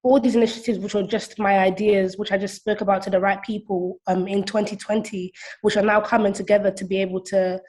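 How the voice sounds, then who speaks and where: A woman's voice: mid-range voice, level tone, a little fast, hardly any pauses, a little mellow, rough recording. A woman in her twenties giving explanatory answers as an interview guest on a remote call. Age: 20s. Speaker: interview guest, platform founder.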